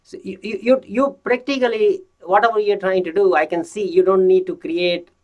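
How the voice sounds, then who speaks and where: Halting male interviewer on dull audio. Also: high-pitched, fairly even intonation. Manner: halting, often repeating words. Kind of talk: casual conversational interview.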